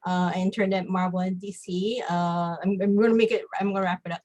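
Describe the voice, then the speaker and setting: A woman's voice: fairly low pitch, steady tone, a little fast, darkish tone. An American woman from Hawaii casually telling her own story in an interview, informal and often saying "like". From Hawaii, United States. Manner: informal, frequent "like". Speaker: comic book artist, podcast guest.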